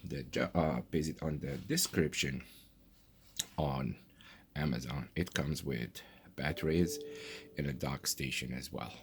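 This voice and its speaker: A man with an extremely deep, creaky voice presents a product unboxing. His delivery is casual and halting, as if thinking aloud, and his pitch moves around freely. There is no studio polish to the talk. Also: a bit bright, a little hiss or hum.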